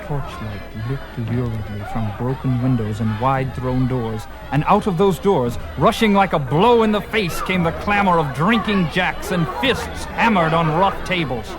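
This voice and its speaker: A man's voice: fairly high pitch, unhurried, hardly any pauses, a crowd in the background. A man narrating a fantasy story in a vivid, theatrical, literary storytelling style. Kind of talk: dramatic scripted fantasy narration. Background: music.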